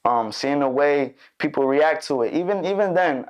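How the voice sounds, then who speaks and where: A man's voice: a little high, moderate pace, clean tone. A young man born in Mexico and raised in Chicago, a musician guest chatting loosely in a studio interview, full of fillers and restarts. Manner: relaxed, rambling, many fillers and restarts. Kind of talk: casual interview chat.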